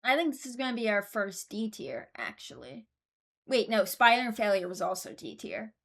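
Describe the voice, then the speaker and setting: A woman's voice: medium pitch, sing-song, moderate pace, creaky, a bit bright. A woman giving casual commentary, thinking aloud in a hesitant, deliberating way and often correcting herself. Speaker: host ranking game voice lines.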